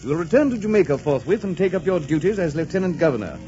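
An actor playing a shrewd, amused English king in a 1940s radio drama, speaking with deliberate, teasing pomp and dry pauses. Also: fairly high pitch, animated, mellow, soft background music, close to an everyday voice. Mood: stately. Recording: muffled old 1940s radio broadcast.

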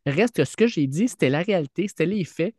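A man's voice: fairly high pitch, lively intonation, fast talker, darkish tone, slightly rough, dry recording, studio quality. A man with a Quebec French accent co-hosting a sports podcast, talking earnestly with frequent restarts. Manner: earnest, many fillers and restarts. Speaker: podcast co-host, teacher. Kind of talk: casual sports chat. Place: podcast studio.

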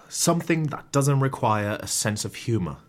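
An actor playing a despairing, anxious famous comedian with no humour left, hesitant and melodramatic, in a scripted audio comedy. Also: male voice, medium pitch, expressive, measured pace, natural voice. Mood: uncertain, relieved.

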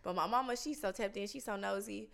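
A woman's voice: medium pitch, fast talker, vocal fry, fairly bright tone. A woman in her twenties, a rapper guest on a podcast, talking in a laid-back, slangy way and laughing often. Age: 20s. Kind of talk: casual podcast interview.

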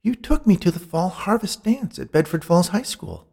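Storybook spirit: male reader as an older woman ghost, high, airy and gentle, earnestly scolding. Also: big pitch swings, normal speed, gravelly, breathy edge, full character voice. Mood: prompting, frustrated. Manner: solemn, admonishing. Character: older female ghost, soothing, earnest, exasperated.

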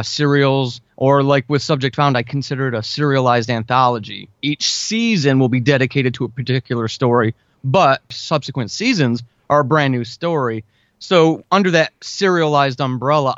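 A man's voice: mid-range voice, dry recording, highs cut off. A man chatting informally and earnestly as an interview guest, full of enthusiasm for his craft. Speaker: interview guest, audio drama writer. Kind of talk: casual chat.

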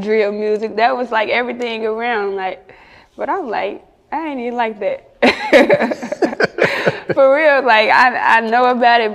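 Slow-talking Chicago woman, reverberant room. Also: fairly high pitch, gravelly. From Chicago, United States. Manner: candid, slangy, often chuckling. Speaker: interview guest, rapper. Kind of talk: casual interview chat.

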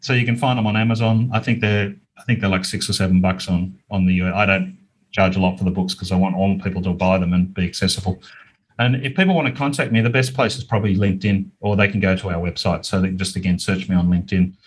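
A man's voice: low-pitched, steady tone, fast talker, slightly rough. A middle-aged or older man with an Australian accent, explaining things earnestly as an interview guest. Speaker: interview guest, business author. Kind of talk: conversational interview answers.

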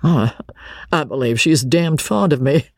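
A man voicing a hot-headed, haughty young army officer in a storybook reading, with old-fashioned gentlemanly speech. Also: mid-range voice, big pitch swings, unhurried, breathy edge, no room sound, clean studio sound, exaggerated voice. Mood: contrite, cajoling.

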